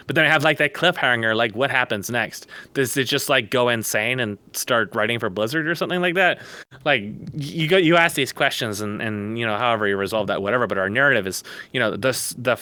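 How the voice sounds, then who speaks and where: A man's voice: medium pitch, expressive, a little fast, bright, forceful tone, no echo. A man talking casually on a podcast over a voice call, rambling at length with blunt, sardonic, profane opinions. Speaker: podcast guest. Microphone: close mic on voice chat.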